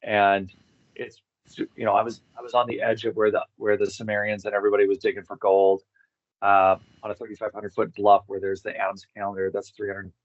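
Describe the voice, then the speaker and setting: A man chatting casually as an interview guest, halting and informal, often repeating words for emphasis. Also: on the low side, flat delivery, dry recording, muffled recording. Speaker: interview guest, tour organizer. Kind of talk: casual rambling conversation.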